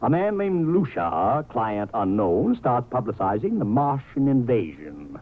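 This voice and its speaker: Picture a brash American publicity man from 1950s radio drama. He is slangy and cynical, a born wheeler-dealer, with a lively voice that swings widely in pitch. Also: a little slow, phone-quality sound. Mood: smug, boastful.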